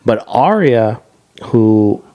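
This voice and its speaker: A man co-hosting a casual podcast, talking in a halting way full of restarts and laughing now and then. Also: medium pitch, sing-song, highs cut off. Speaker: podcast co-host.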